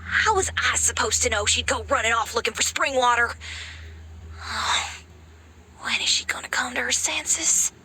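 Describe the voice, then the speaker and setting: A woman's voice: fairly high pitch, unhurried, long pauses, in a whisper, close to an everyday voice. An actress playing a worried, exasperated middle-aged mother who sighs a lot. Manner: exasperated, sighing. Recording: game cutscene with music behind.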